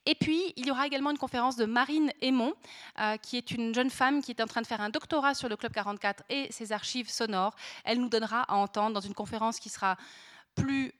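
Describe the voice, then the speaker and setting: A woman's voice: fairly high pitch, a little fast, fairly bright tone. A woman hosting an event, making semi-formal announcements and sometimes searching for her words. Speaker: event host introducing a debate.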